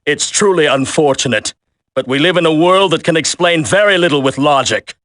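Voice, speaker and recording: An actor playing a grim, principled terrorist colonel in a video game, speaking in solemn, measured speeches. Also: male voice, on the high side, a bit bright, dry recording, clean studio sound, close to an everyday voice. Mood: solemn, resolute. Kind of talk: video game cutscene acting.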